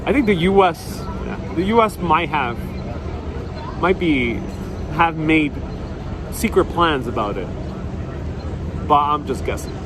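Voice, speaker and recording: A man from a Puerto Rican family, whose first language is Spanish, chats casually as a live-stream host, reading viewer comments aloud and answering them in a relaxed way. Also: fairly high pitch, takes it slowly, long pauses, a crowd in the background. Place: outdoors on a city street. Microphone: wireless clip-on mic. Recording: city street noise behind.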